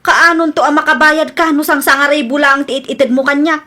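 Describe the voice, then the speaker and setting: A woman's voice: high voice, steady tone, fairly quick, sharp tone. An actress with an Ilocano Filipino accent playing an aggrieved, plaintive woman shopkeeper in a radio drama. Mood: exasperated. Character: aggrieved woman shopkeeper chasing debts, complaining to her father.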